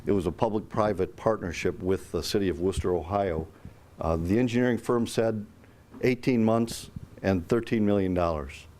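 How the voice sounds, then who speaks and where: A man giving a brisk, promotional business presentation at a roundtable, with some fillers. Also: fairly low pitch, a little mellow, slight background noise.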